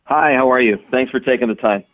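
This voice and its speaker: A man's voice: medium pitch, fast, no room sound. A man working as a sports reporter, putting questions to an interviewee over a telephone. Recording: narrow, tinny phone line.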